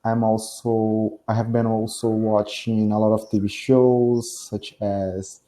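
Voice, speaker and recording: A man's voice: on the low side, level tone, takes it slowly, mellow, poor audio. A man from Brazil with a Brazilian Portuguese accent, speaking English as a learner in a casual video call, hesitant and often restarting his phrases. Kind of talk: casual online lesson chat.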